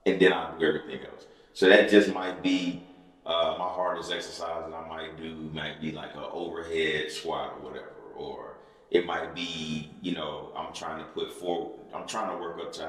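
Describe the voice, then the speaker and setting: Deep voiced trainer guy hyping people up, kinda rambly, echo everywhere. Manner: rambling, repetitive, motivational. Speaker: personal trainer and podcast co-host. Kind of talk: casual podcast chat. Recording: very echoey room with long reverb.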